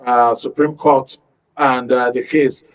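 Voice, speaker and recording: A man's voice: medium pitch, steady tone, slow, far from the mic, muffled recording. A man with a Nigerian accent hosting and moderating a political discussion programme. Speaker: host. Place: radio and TV studio.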